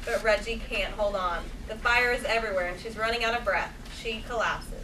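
A woman's voice: mid-range voice, steady tone, fairly quick. A woman reading out stage directions as scripted narration at a table read. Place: small theatre stage, seated before an audience. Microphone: far camera. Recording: noisy stage recording from far off.

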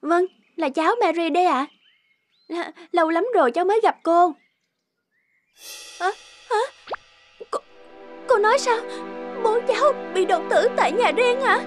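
A high, soft-spoken young woman, grieving and tearful, the heroine of an animated drama. Her lines sit over loud background music. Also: unhurried, pauses often. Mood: shocked. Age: young adult. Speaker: voice actor.

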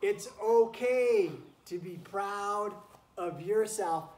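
A man's voice: high-pitched, extremely slow, darkish tone. A man reading a picture book aloud to children like a teacher, chatting encouragingly and breaking off for asides to the animals around him. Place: wooden barn pen with straw, among goats and chickens. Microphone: camera a few feet away. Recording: roomy, noisy barn, distant camera mic.

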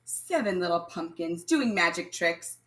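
Slow-paced woman teaching young children. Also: lowish voice, expressive, fairly bright tone. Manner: instructional, addressing young children, pausing for answers. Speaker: primary school math teacher. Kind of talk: children's read-aloud lesson, counting along.

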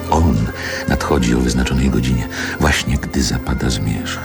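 A very deep, low male voice in a literary radio play. He is a reflective man looking back on childhood, speaking in measured, poetic phrases with wistful pauses. Music plays clearly behind him. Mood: nostalgic, contemplative. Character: reflective man recalling his Warsaw childhood, wistful and lyrical. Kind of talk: radio drama monologue, literary narration.

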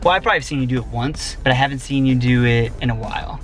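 Young man, American accent, playful hype, casual vlog delivery, medium pitch, clear background music. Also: fast talker. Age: young adult. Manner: playful, hyped showman. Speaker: vlogger. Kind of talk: casual vlog chat to camera. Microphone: handheld vlog camera at arm's length.

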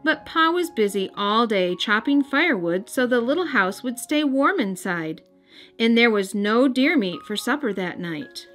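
An American woman reading a storybook aloud for young children in a gentle, careful storytelling manner. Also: on the high side, animated, a little slow. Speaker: children's storybook reader and host. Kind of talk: scripted read-aloud narration. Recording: music under the voice.

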